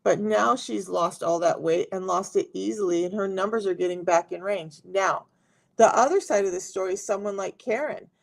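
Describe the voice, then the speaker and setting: A middle-aged woman with a raspy voice, chatting casually to viewers on a live stream as a health coach. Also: medium pitch, normal speed. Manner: chatty, talks straight to viewers.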